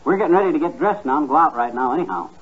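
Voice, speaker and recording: An actor playing a folksy, blustering, soft-hearted husband in a 1950s radio comedy, talking in slangy style. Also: male voice, a little high, lively intonation, rapid-fire, breathy edge. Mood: sheepish, boastful. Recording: muffled 1950s radio recording.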